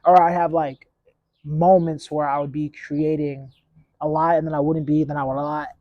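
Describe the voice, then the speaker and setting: A man's voice: a little high, level tone, moderate pace, no echo, dull audio. A man in his late twenties hosting a casual solo podcast outdoors on a clip-on mic, talking in a reflective, rambling, laid-back way. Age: 20s. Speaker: podcast host.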